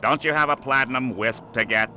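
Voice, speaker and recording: A man voicing a sour, bored octopus in a cartoon game, nasal and sarcastically deadpan. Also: mid-range voice, fairly quick, slight background noise. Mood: sarcastic. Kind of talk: cartoon game character acting.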